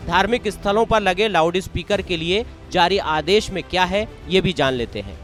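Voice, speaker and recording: Man, high pitch, scripted news narration, emphatic, formal, light music bed. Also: lively intonation, moderate pace, a bit bright. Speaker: news presenter. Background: background music.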